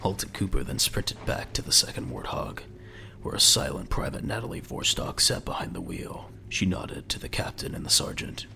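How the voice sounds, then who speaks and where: A man narrating a scripted dramatic story in a measured, descriptive way. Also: low voice, wildly swooping pitch, creaky, pushed and bright, slight background noise.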